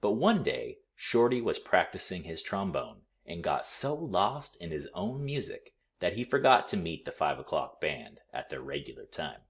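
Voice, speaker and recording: Guy w a deep voice doing kids story narration, super expressive, pitch all over. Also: moderate pace, few pauses. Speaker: storybook read-aloud reader.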